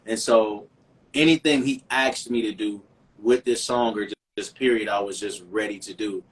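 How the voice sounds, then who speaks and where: Earnest low-voiced man, heard from a distance. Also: normal speed. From Chicago, USA. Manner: reflective, earnest, repeats words while thinking. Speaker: singer and songwriter guest. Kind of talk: casual interview conversation.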